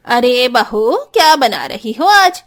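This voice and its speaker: A woman puts on a nosy neighbour auntie for a cartoon tale. She is effusive and forward, a food lover with a fairly high voice. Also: sing-song, slow pace, fairly bright tone, full character voice. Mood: nosy, eager. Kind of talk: Hindi cartoon story dialogue.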